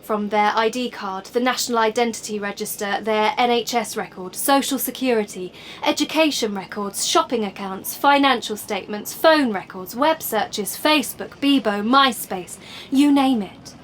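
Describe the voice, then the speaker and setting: An actress playing a coolly assured woman official in an audio play, lecturing in a deliberate, instructive way. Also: fairly high pitch, a little hiss or hum, lightly acted. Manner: instructive, deliberate, checking listeners follow.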